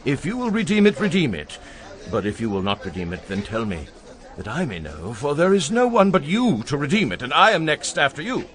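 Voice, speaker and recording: An actor playing a kindly, upright landowner of ancient Israel, earnest and solemn, in a dramatized scripture reading. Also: male voice, fairly high pitch, sing-song, brisk, a bit bright. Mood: earnest, formal.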